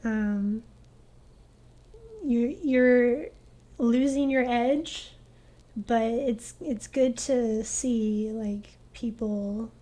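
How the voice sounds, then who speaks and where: A young woman streaming a game, chatting in a soft, hesitant way with lots of fillers. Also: a little high. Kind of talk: casual gaming stream chat.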